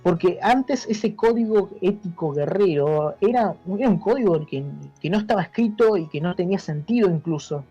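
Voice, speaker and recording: A man's voice: high voice, animated, normal speed, few pauses, dark tone, slightly rough. An Argentine man speaking as an interview guest, explaining things informally like a teacher and checking understanding with short tag questions. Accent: Argentine Spanish. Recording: dull lo-fi audio with music behind. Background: music.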